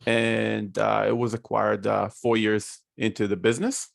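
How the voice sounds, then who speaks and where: Gravelly Israeli man, dry close sound. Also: on the low side, level tone, dry recording. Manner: measured, explaining step by step with fillers. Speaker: guest. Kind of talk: casual interview talk. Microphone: video call.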